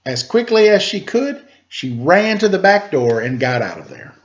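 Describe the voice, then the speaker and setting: A man narrating a children's story as a storyteller, with dramatic, deliberate pauses. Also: high-pitched, very animated, muffled recording.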